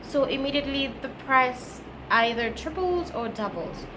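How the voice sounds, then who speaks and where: A woman's voice: sits high, expressive, moderate pace. A woman from Kenya with a Kenyan accent, chatting casually to camera as a travel vlogger and explaining things in a conversational way. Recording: clearly noisy background ambience.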